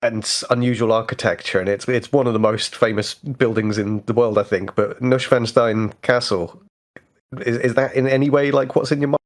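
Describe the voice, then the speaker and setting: A man's voice: mid-range voice, fairly even intonation, normal speed. A man co-hosting a casual worldbuilding conversation, musing tentatively as he builds on ideas. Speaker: podcast co-host.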